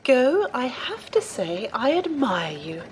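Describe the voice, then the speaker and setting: Woman acting high-voiced, earnest nun. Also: sing-song, slow, slight background noise, exaggerated voice. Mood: sincere, admiring. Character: earnest, gently chiding nun, loyal companion. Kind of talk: scripted audio drama acting.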